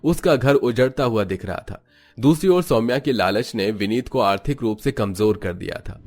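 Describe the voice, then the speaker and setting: A man narrates a cartoon family story in a low voice. The scripted delivery is melodramatic, with music playing behind. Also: a little mellow.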